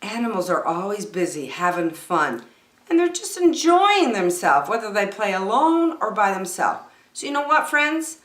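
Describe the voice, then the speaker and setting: A woman's voice: medium pitch, big pitch swings, moderate pace, fairly bright tone, roomy sound. A woman hosting story time, reading a picture book aloud to young children expressively, with chatty asides and questions.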